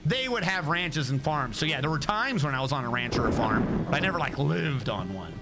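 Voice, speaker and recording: A young man with a high voice rambles chattily to his stream chat, stopping often to correct himself. Music plays clearly behind him as he talks. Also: sing-song, a little fast, poor audio. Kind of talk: casual livestream chat.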